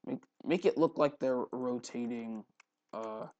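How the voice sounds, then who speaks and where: A man hosting a casual, unscripted screen tutorial, haltingly repeating words and muttering to himself, recorded on a headphone mic at his neck. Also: mid-range voice, warm tone, no echo, highs cut off. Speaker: tutorial host. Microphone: headphone mic held at the neck.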